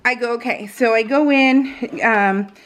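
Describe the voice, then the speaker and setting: A woman's voice: on the high side, unhurried, a bit bright, rough recording. A woman chatting casually while crafting on her vlog, rambling off on tangents in a jokey, self-deprecating way. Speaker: craft vlogger.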